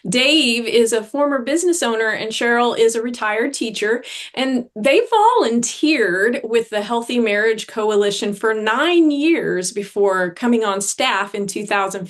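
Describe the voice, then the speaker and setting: A woman hosting a training session on a video call, introducing speakers in an upbeat way. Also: medium pitch.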